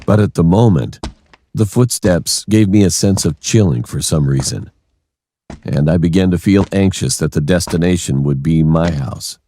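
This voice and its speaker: A man's voice: low voice, moderate pace, mellow, a little hiss or hum. A man reading a scripted first-person horror story as its narrator.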